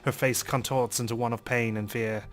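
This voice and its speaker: A man's voice: mid-range voice, little pitch movement, normal speed. A man reading a visual novel aloud as a let's play host, in dramatic, theatrical first-person narration.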